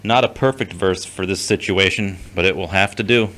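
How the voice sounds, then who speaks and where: A man's voice: deep voice, normal speed, pushed and bright. An actor playing a guarded, skeptical man in an audio drama, with a terse, dry, blunt delivery. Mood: prayerful.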